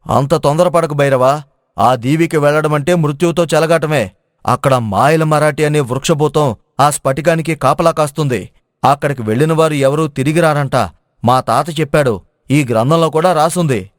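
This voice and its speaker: Highish-voiced man as a knowing village guide. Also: normal speed, dry recording, studio quality, close to an everyday voice. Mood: warning. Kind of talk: animated moral story dubbing.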